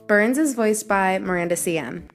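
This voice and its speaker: A woman's voice: mid-range voice, animated, normal speed, faint music behind. A woman, a cast member of the show, reading scripted end credits as an announcer.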